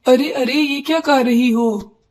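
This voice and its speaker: A woman's voice: sits high, a little slow, a little mellow. A woman voicing an earnest young male pigeon, a husband and son, in a Hindi cartoon. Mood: alarmed.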